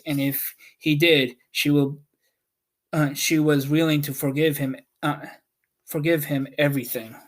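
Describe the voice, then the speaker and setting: Slow, halting storybook reader. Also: medium pitch. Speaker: podcast host and story reader. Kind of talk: scripted storybook narration.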